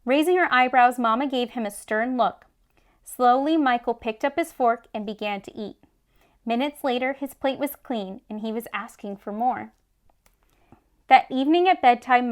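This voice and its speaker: A woman reading a children's story aloud with expressive storybook narration. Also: a little high, moderate pace. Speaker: children's read-aloud host.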